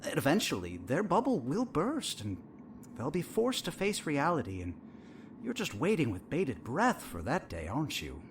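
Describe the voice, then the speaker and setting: A man playing a sinister, smug therapist in a horror audio drama, measured and theatrical, with a falsely soothing, condescending manner. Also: on the high side, huge pitch swings, noisy background. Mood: probing, needling.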